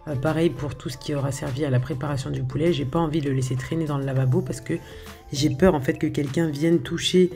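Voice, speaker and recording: A woman's voice: sits low, steady tone, quick pace, creaky, warm tone, soft background music. A woman talking casually over a video of her home cooking, hesitant, with lots of filler sounds and restarts. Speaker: home cook showing her recipes.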